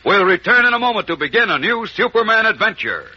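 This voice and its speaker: A man narrating a serial as announcer in dramatic 1940s radio style, with a husky edge. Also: high voice, big pitch swings, fast talker, bright, forceful tone. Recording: muffled old radio recording.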